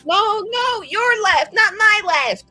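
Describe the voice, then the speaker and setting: A woman playing a tabletop roleplaying game, chatting casually and describing her character's actions in a playful, expressive way. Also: very high-pitched, slow pace, no room sound, soft background music. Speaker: player.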